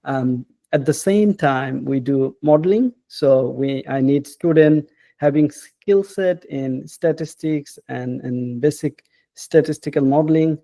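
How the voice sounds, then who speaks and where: Gravelly man, hesitant talk. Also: fairly high pitch, warm tone, no room sound. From Bangladesh. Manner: unhurried, hesitant, with frequent "uh" and tag questions like "right?". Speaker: guest, assistant professor. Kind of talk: casual interview.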